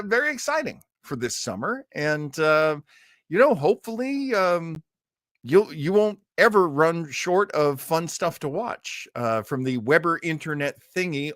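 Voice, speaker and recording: A man's voice: fairly high pitch, fairly bright tone, no room sound, clean studio sound. A middle-aged man hosting an old-time radio livestream in a casual, chatty, rambling way, effusive and self-deprecating.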